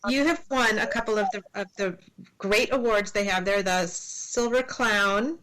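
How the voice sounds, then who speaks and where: A woman's voice: mid-range voice, unhurried, fairly bright tone, poor audio. Friendly woman host asking questions. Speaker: podcast host, interviewer. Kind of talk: interview questions.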